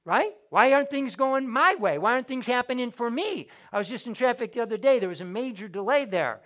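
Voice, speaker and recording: High-voiced man preaching emphatically. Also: animated, fairly quick, a bit bright. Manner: emphatic preaching, rising and falling in volume, repeats words. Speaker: pastor. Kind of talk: sermon. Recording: audio of a church sermon.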